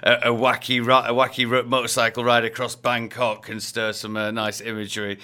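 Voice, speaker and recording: A man's voice: mid-range voice, flat delivery, brisk, sharp tone, smooth. A man hosting a radio interview, chatty, with rambling questions put to a guest. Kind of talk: upbeat live radio interview.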